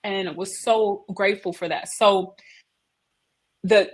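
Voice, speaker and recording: A woman's voice: medium pitch, slow pace, husky. Woman on a video call interview, chatty and emphatic, telling how her career came together. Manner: animated storytelling, emphatic. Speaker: head of operations, interview guest. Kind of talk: casual interview conversation.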